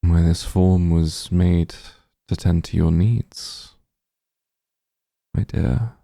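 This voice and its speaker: Doting demon lord, played by a man, tender comforting ASMR roleplay, heavy vocal fry, close dry sound. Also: fairly even intonation, drawn-out words, no room sound, full character voice. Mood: soothing, comforting. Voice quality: low, gravelly, husky. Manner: soothing, tender, gently coaxing. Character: doting demon lord, shapeshifted into soft strong form.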